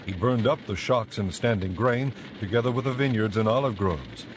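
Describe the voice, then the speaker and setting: A man with a lowish voice reads scripture as an audiobook narrator. His delivery is measured, solemn and dramatic. Faint ambience sits behind him. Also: lively intonation, background noise.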